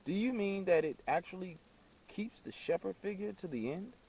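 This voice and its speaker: A man's voice: sits high, animated, moderate pace, a little mellow, breathy edge, pitched above the performer's own voice, full character voice. A man reading the part of a polite, curious American father in a storybook, speaking formally and earnestly. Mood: earnest questioning.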